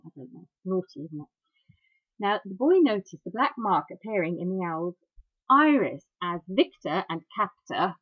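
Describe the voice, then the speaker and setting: A woman's voice: lowish voice, breathy edge, no room sound. A woman hosting a talk program, reading history with chatty asides and many fillers. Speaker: radio host. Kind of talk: informal talk on iridology history. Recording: dry, dull lo-fi sound.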